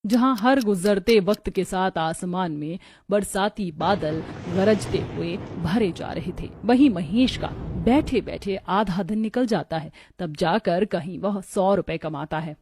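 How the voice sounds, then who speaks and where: A woman's voice: mid-range voice, measured pace, hardly any pauses, a little mellow. A woman giving scripted, dramatic storytelling narration for a moral tale.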